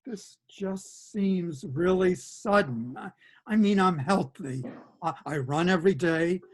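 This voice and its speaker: A man playing a bewildered, gullible patient, earnest and halting, in a comic play reading over a video call. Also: high voice.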